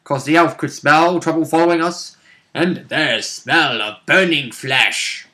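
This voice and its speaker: A man chatting casually as a tabletop game player, jokey and animated, with slangy asides. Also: a little high, unhurried. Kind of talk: casual chat.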